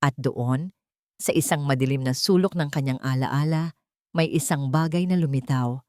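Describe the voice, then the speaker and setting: A woman with a low-pitched voice reads a dramatic story as a scripted narration. She phrases it in a measured way, pausing for emphasis, and the recording is dry and close. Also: dark tone, no room sound.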